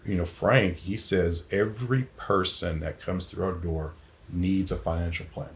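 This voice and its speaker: A man's voice: low voice, steady tone, a little slow. A man speaks as a guest financial advisor. He talks conversationally in plain words, putting firm emphasis on his points. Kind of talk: conversational podcast interview.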